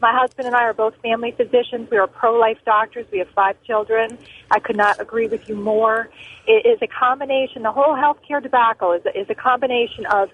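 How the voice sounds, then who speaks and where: A woman's voice: on the high side, steady tone, a little fast. A middle-aged woman calling a radio show by telephone, talking earnestly in short, punchy, opinionated sentences over a narrow line. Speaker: call-in listener, family physician. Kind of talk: earnest opinionated call-in talk. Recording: narrow tinny phone line.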